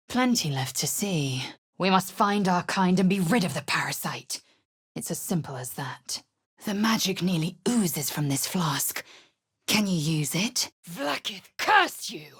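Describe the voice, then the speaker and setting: Game role: disciplined alien warrior woman, imperious and scornful, lowish rasping voice, formal clipped delivery. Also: expressive, unhurried, exaggerated voice. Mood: furious. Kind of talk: video game character voice lines.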